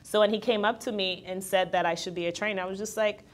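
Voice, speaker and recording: A woman's voice: mid-range voice, fast talker. A woman in her twenties from Guyana, a fitness trainer and entrepreneur, talking candidly as a guest in a casual interview and laughing easily. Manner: candid, laughs easily, quotes others in lively voices.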